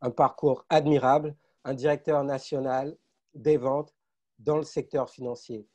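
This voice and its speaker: A man co-hosting an interview podcast. His voice is warm and mellow at a middling pitch. He is upbeat and friendly, and he trips over repeated words as he asks his questions. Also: little pitch movement, a little slow. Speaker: podcast co-host.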